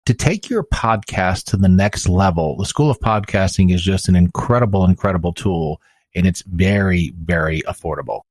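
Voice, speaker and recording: Lowish-voiced man giving an informal testimonial. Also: moderate pace, no room sound.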